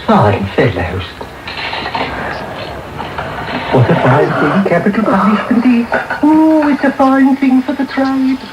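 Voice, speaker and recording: An actor playing a sly, greedy old crook in a radio drama, fawning and muttering as he repeats his phrases. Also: fairly high pitch. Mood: gloating, muttering. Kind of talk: 1990s radio drama acting. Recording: noisy medium-wave radio broadcast taped off air.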